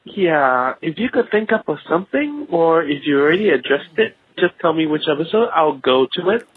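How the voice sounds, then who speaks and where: A man from Singapore speaks over a narrow, tinny phone line. He leaves a casual listener message, rambling and halting as he drifts into asides. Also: on the high side, lively intonation, husky. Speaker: phone-in listener leaving a voicemail.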